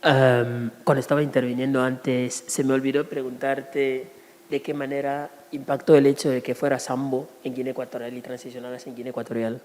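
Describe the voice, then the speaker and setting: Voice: medium pitch, flat delivery, fairly quick, darkish tone, a little hiss or hum. An audience member asking a hesitant question at a public talk. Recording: long hall reverb.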